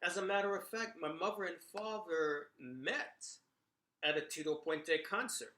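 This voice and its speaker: A man's voice: a little high, measured pace, bright, forceful tone, reverberant. A middle-aged man from the Bronx, telling memories in a casual monologue full of "you know" and "right?". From New York, Bronx. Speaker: commentator sharing memories.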